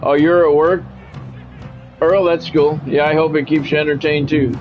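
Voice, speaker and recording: A man's voice: fairly high pitch, steady tone, normal speed, lo-fi sound. A man livestreaming as a sports commentator, switching between clipped announcer-style play calls and casual chat with viewers over music. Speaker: game streamer calling play-by-play.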